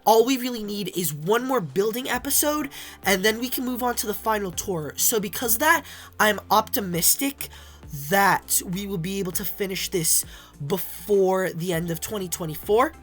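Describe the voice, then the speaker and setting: A young woman gaming creator, hoarse and raspy from being sick, chatting casually over her gameplay with frequent ums. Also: mid-range voice, moderate pace, soft background music. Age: young adult. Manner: chatty, many ums and restarts. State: hoarse from illness. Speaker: gaming YouTuber. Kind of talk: casual game commentary.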